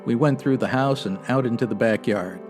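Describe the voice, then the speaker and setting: A male actor plays a no-nonsense male police sergeant from a 1950s radio detective drama. He speaks in a middle pitch with a clipped, deadpan, factual delivery. Faint music plays underneath. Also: fairly quick, close to an everyday voice. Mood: flat, factual. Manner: deadpan, clipped, matter-of-fact. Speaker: actor in a comedy audio drama.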